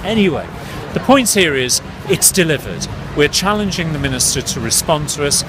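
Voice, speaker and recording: A man's voice: on the high side, very animated, normal speed. A man from the UK, a consumer campaigner, talking earnestly and directly to viewers outdoors. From United Kingdom. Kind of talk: outdoor campaign vlog to camera. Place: outdoors on a city street with traffic. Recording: outdoor street noise.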